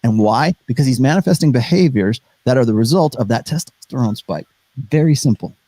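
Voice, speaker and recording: A man's voice: medium pitch, lively intonation, brisk, mellow, dry recording. A middle-aged man, an author guest in a casual interview, explaining his ideas confidently and plainly.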